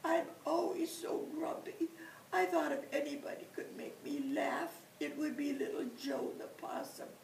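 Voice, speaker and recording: A woman voicing a big grumpy male bear in a storybook, with a high, breathy, sobbing voice. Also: huge pitch swings, drawn-out words, hardly any pauses, a little mellow, slight background noise, full character voice. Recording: roomy, distant mic.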